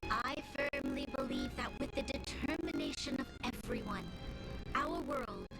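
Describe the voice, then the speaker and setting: A woman's voice: rumbling low, wildly swooping pitch, vocal fry, heavily acted, rough recording. A woman playing a young, resolute leader giving a solemn, earnest inaugural speech in a fantasy game. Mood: earnest, hopeful. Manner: solemn, earnest, measured oratory. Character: young female supreme guardian, solemn, resolute leader addressing her people. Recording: game audio with loud music underneath. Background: prominent game soundtrack music.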